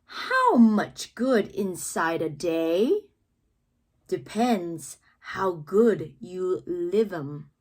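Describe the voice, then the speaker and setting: Expressive, slow-paced woman reading children's poems aloud. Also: lowish voice. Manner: theatrical, deliberate phrasing with playful emphasis. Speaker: read-aloud host, teacher-like reader. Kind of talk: expressive children's poetry read-aloud with asides.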